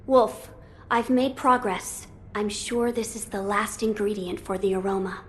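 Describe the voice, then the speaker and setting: A woman's voice: mid-range voice, a little slow, a bit bright, lightly acted. A woman playing an earnest, noble young boy lord in a video game, formal, thoughtful and composed. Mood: thoughtful, resolute. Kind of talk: video game cutscene acting.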